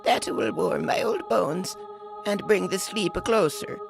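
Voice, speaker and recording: Very old woman, gravelly creak, slow wavering speech, fussy mutterer, music bed behind. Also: fairly low pitch, exaggerated voice. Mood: muttering, wondering. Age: older. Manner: mutters to herself, fussy, startled exclamations. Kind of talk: audio drama acting.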